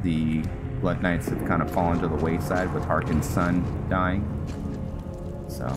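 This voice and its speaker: A man giving casual Let's Play commentary, thinking aloud and explaining things to his viewers, with loud music behind him. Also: sits low. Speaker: gaming video host. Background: loud game music.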